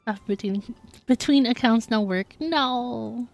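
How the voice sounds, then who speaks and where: A woman's voice: a little high, slow pace, vocal fry, faint music behind. A woman livestreaming a game in a casual, playful, giggly way, chatting and reacting to the dialogue. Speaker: game streamer.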